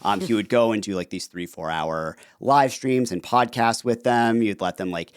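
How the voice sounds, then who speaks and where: Man, very deep voice, smooth resonant tone, dry close sound, casual podcast guest, fast filler-heavy talk. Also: dry recording. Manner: fast, animated talker with many fillers. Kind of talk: casual interview conversation.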